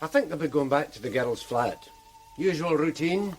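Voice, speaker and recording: An actor playing a tired, middle-aged detective in a radio drama, speaking in a low-key, wry way. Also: male voice, lively intonation, normal speed, soft background music, lightly acted. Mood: weary.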